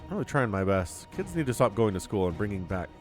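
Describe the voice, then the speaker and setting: Male esports caster, animated delivery, informal and chatty, slightly sniffly, music underneath. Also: on the low side, fast talker. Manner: chatty, informal, slangy asides. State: sniffly with a cold. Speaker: esports shoutcaster. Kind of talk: casual live game commentary.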